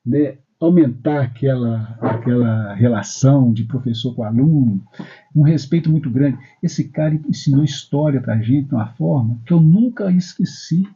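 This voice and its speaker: A man's voice: mid-range voice, moderate pace, dark tone, slightly rough, highs cut off. A man hosting a religious talk program in a spiritist center, speaking in a casual, storytelling way with frequent tag questions. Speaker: program host.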